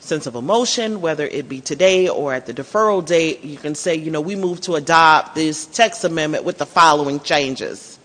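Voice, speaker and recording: A man's voice: fairly high pitch, normal speed, few pauses, bright, forceful tone, in a large hall. A man on the planning staff explaining permitted uses at a public meeting.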